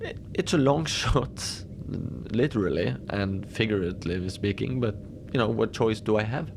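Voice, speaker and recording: A man's voice: on the low side, lively intonation, moderate pace, background noise. A man playing an unflappable lone facility manager at an Antarctic station, rambling casually and wryly through a cozy horror audio diary. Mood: calm, wry. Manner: casual rambling, wry understatement, small chuckles. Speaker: host and narrator of a fiction podcast.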